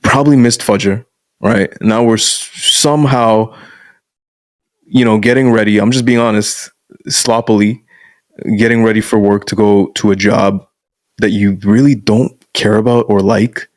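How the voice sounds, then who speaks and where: Blunt, lowish-voiced man talking frankly. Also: a little mellow, dry recording. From Canada. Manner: frank, blunt, peppers speech with "bro". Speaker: guest masculinity coach. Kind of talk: casual podcast conversation.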